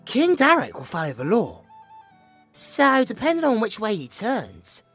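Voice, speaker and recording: A woman playing a cheeky, excitable young village bard with a British accent, chatty and colloquial in a fantasy audio drama. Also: on the high side, a little slow, husky, soft background music, exaggerated voice. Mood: chatty, expressive. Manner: animated, colloquial, playful. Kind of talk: fantasy audio roleplay acting.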